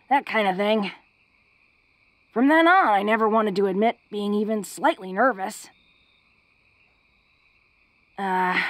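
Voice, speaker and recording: A big, brawny young orc woman, acted by a woman talks close and dry in a romantic audio roleplay. Her voice sits a little high and she takes her time, halting bashfully. Under the tough front she is awkward and tender. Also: dry recording. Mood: nervous, confessing. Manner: bashful, halting, breaking into soft chuckles. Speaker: voice actor. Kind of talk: intimate romantic audio roleplay spoken to the listener.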